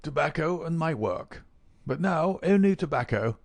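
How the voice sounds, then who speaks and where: An elderly, bookish professor, played by a male narrator, lifts his voice into a higher, mincing register. He is effusive and plaintive, picking ornate words with fussy precision, like a frail invalid scholar in a classic storybook. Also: normal speed, a little mellow, no echo, lightly acted. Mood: lamenting, effusive. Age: older. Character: gaunt old male professor, bedridden, effusive bookworm.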